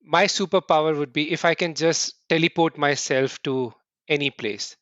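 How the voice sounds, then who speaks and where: A middle-aged man from India, answering interview questions earnestly in quick bursts and often restarting with "right?". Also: a little high, fairly bright tone, no room sound, highs cut off. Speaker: podcast guest.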